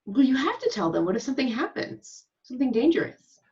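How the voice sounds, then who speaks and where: A woman playing an excitable, candid actress aboard a starship, expressive and conversational, in an amateur table read. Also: sits high, brisk. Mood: concerned. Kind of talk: amateur remote table read of a sci-fi comedy. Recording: slightly dull, lo-fi home recording.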